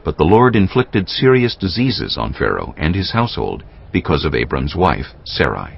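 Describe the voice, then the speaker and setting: A man narrating a dramatized scripture recording in a measured, solemn storytelling style. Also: rumbling low, big pitch swings. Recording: muffled sound under clear music.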